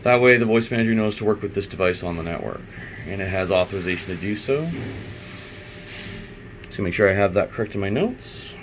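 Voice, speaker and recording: A man's voice: low-pitched, animated, brisk, long pauses. Man talking through steps, faint background hum. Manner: informal, thinking aloud. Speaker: IT installer giving a software tutorial. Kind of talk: unscripted step-by-step screen walkthrough.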